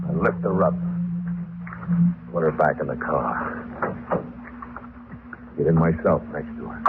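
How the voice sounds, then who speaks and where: An actor playing a guilt-haunted, nervous murderer in an old-time radio horror drama, speaking in halting, stammering phrases. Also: male voice, very deep voice, full character voice. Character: guilt-haunted, jittery murderer narrating his crime. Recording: noisy, muffled old radio broadcast.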